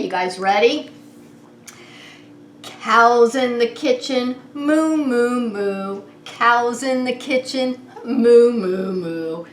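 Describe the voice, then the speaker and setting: Woman, preschool teacher, higher-pitched, unhurried, playful singsong story-time delivery, roomy echo. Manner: addresses children directly with simple questions. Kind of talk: children's story time with read-aloud and singsong. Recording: roomy echo, clear background noise.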